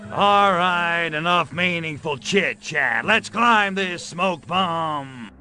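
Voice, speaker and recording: An actor playing a cocky, wisecracking alien invader in a cartoonish video game, with a sardonic, drawling delivery. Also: male voice, a little high, takes it slowly, pushed and bright, soft background music, heavily acted.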